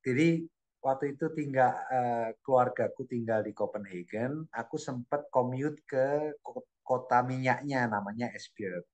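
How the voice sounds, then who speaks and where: A middle-aged man from Indonesia, chatting as a guest about his life abroad in an animated way, often repeating phrases while he searches for words. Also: mid-range voice, unhurried. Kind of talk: casual storytelling chat.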